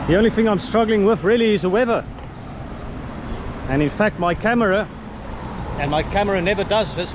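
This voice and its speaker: A South African man in his thirties, an Afrikaans speaker, chatting and musing aloud as he walks a sunny street and films himself at arm's length. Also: high voice. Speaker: travel vlogger. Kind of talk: walking tour commentary. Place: outdoors on a sunny suburban street. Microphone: handheld camera at arm's length. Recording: loud street traffic noise.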